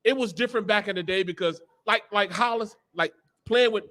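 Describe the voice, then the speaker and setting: A man's voice: high voice, a little fast, bright, forceful tone. A middle-aged American man, a former football player, telling stories on a sports panel in an emphatic, repetitive, slangy way. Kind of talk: animated locker-room storytelling on a sports panel.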